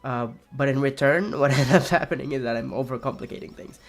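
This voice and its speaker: Raspy gravelly young man, rambling. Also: mid-range voice, brisk. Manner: rambling, soft-spoken, many fillers and restarts. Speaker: streamer. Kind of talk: casual chat while playing a game.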